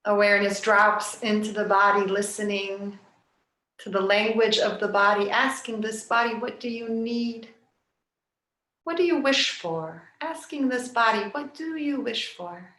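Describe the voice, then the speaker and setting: Slow, soothing woman guiding relaxation. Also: mid-range voice. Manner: soft, soothing, unhurried with long pauses. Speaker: yoga nidra teacher. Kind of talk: guided relaxation meditation. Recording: roomy, echoing sound.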